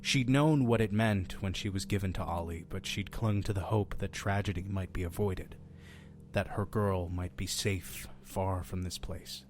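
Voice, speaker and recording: A man's voice: deep voice, normal speed, darkish tone, faint music behind, natural voice. A man playing a friendly, mysterious innkeeper who tells stories in an audio drama, earnest and persuasive with a folksy touch. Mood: hushed, solemn storytelling. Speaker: innkeeper storyteller. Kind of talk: audio drama storytelling and dialogue.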